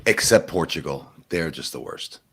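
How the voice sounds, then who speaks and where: A man's voice: extremely low pitch, lively intonation, moderate pace, a little hiss or hum. A middle-aged man co-hosting a podcast, chatting casually with dry sarcasm. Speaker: podcast co-host. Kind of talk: casual chat.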